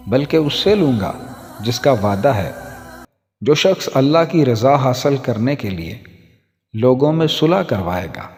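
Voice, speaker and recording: A man narrates a moral tale at a slow, unhurried pace. His mid-pitched voice is formal and measured, like a scripted reading. It is soaked in long hall reverb. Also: a little mellow. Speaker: storyteller. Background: background music.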